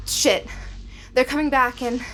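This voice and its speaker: An actress playing a frightened, awed woman explorer in an audio drama, describing her surroundings in hushed, halting phrases. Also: high-pitched, fairly even intonation, a little hiss or hum, low-quality recording. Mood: panicked. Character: frightened woman explorer guided over radio, awed and anxious.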